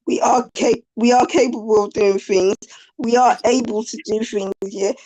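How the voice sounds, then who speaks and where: Croaky woman on a video call. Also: a little high, fairly even intonation, moderate pace, mellow, rough recording. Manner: chatty, laughs easily, repeats words. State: croaky, slightly hoarse. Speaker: interview guest. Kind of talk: casual video-call conversation.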